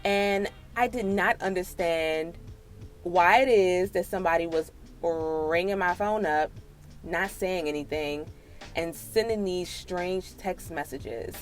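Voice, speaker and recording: A woman in her late twenties with an American accent tells a personal story casually, in an animated, sassy, slangy way. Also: on the low side, slow, faint music behind. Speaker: storytime vlogger.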